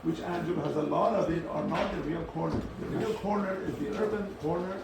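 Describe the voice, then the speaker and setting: A man thinking aloud in an unscripted academic architecture discussion, halting and often rephrasing as he moderates. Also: on the high side. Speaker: discussion moderator responding to speakers. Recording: roomy, distant microphone, clear background noise.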